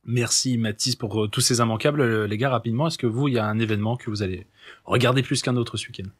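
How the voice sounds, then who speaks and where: A young man from Lorraine hosting a student radio sports show in a relaxed, jokey way, with many hesitations. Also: mid-range voice, fast, husky. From Lorraine, France. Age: young adult. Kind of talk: casual hosting banter.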